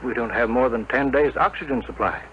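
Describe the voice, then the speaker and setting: A man's voice: medium pitch, fairly quick, natural voice. A man acting in a 1950s radio drama as a sober ship's engineer with a matter-of-fact delivery. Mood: grave. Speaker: radio actor. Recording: muffled, noisy old radio broadcast.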